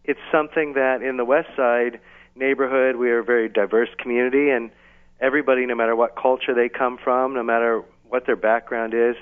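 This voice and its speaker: A man being interviewed casually on the radio as an event organiser, explaining things in a halting way over a phone line. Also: medium pitch. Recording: thin, band-limited phone line.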